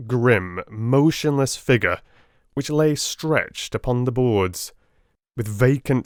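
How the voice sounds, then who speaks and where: A man narrating a classic story as a dramatic audiobook, with theatrical, deliberate phrasing. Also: medium pitch, dry recording, clean studio sound.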